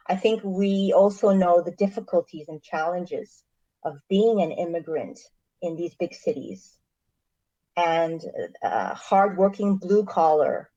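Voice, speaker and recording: A woman from Canada, a missionary, answering interview questions in a measured, thoughtful way with frequent pauses. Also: lowish voice, dark tone. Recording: dull lo-fi recording.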